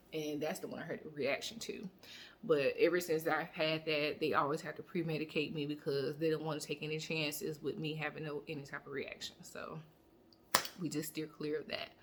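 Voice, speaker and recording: A woman vlogger chatting casually to her viewers, rambling with fillers and restarts, her voice a little hoarse. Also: sits low, a little hiss or hum. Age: adult student. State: slightly hoarse. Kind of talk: casual personal vlog update.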